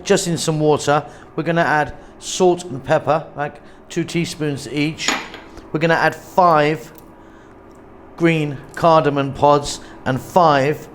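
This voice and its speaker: A man's voice: fairly high pitch, a little hiss or hum. Chatty man hosting a cooking demo. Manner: chatty, relaxed, thinks aloud with fillers. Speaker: cooking channel host. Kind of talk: casual cooking demonstration.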